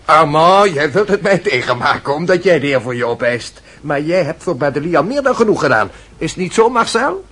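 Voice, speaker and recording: A man's voice: a little high, big pitch swings, a little fast, a bit bright, slightly rough, exaggerated voice. An actor playing a jaunty, mocking swordsman in a costume radio drama, breezy, bantering and bossy. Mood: excited.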